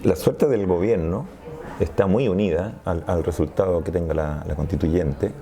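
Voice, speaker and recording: Gravelly, low Chilean man explaining. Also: normal speed, warm tone. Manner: discursive, analytical, frequent restarts. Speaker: sociologist guest. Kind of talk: interview conversation.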